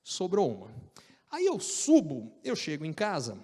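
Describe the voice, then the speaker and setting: A man's voice: fairly high pitch, huge pitch swings, unhurried, mellow, husky, in a large hall. A middle-aged man from Brazil telling career stories in a casual, joking, self-deprecating way. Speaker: speaker sharing career experience. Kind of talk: casual storytelling talk.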